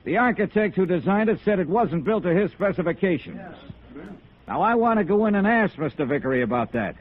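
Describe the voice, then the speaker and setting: An American actor playing a cool, defiant insurance investigator in 1950s radio noir, with a clipped, needling delivery. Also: male voice, sits high, lively intonation, a little fast, phone-quality sound, a little hiss or hum. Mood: loud, rallying. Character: hard-boiled male freelance insurance investigator, cool and defiant. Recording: band-limited vintage radio recording.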